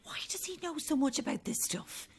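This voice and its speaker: A woman's voice: high voice, expressive, brisk. A woman acting a sceptical, sardonic Irish journalist in an audio drama, blunt and challenging. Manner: challenging, dry.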